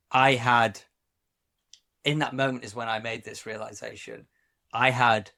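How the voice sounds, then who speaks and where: A man from Wales co-hosting a podcast, talking reflectively in a casual chat, often pausing and restarting his sentences. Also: mid-range voice, vocal fry, sharp tone. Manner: reflective, halting, many restarts. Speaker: podcast co-host.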